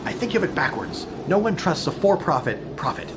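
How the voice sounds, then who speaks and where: Hugely animated, bewildered everyman. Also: high-pitched, brisk, background noise. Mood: wry, incredulous. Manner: casual, sarcastic, excitable. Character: bewildered, wisecracking everyman stranded in a fantasy world.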